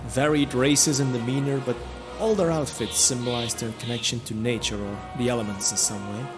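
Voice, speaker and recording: Male narrator for fantasy audio drama; mid-pitched, reflective first-person storytelling over music. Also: fairly even intonation, brisk.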